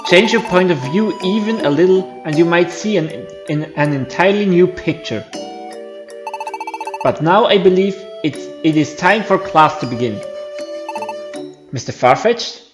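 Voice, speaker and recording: A man voicing a kindly, wise creature principal with a gentle, measured manner in an amateur game dub. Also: high voice, expressive, music in the background. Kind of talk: amateur video game voice-over.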